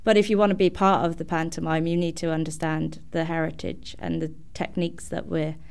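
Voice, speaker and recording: A woman's voice: low voice, level tone, a little fast, creaky, darkish tone, clear, resonant tone. A young adult woman, a student guest, giving earnest conversational answers in a podcast interview.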